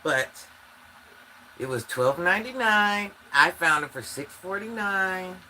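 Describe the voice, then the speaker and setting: An older woman with a deep voice, chatting casually on a livestream and talking straight to her viewers. She sounds stuffy from allergies. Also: expressive, takes it slowly, pushed and bright, a little hiss or hum, low-quality recording. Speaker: livestream host.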